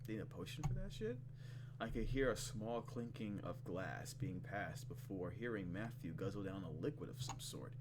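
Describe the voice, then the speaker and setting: A man's voice: low-pitched, noisy background. A man hosting a let's play, casually and expressively commenting and swearing as he reads visual novel text aloud.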